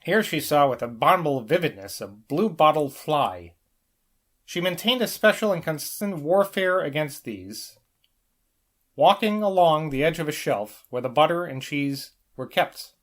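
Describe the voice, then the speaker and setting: A man's voice: on the high side, sing-song, moderate pace. A man narrating a novel as an amateur audiobook reader, with the occasional small stumble over the text. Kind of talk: scripted literary narration, novel read aloud.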